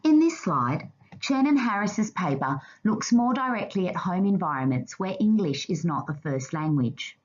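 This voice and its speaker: Woman, lowish voice, slightly dull recording, formal scripted presentation, measured delivery. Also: very animated. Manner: formal, measured reading aloud. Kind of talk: scripted slide presentation narration.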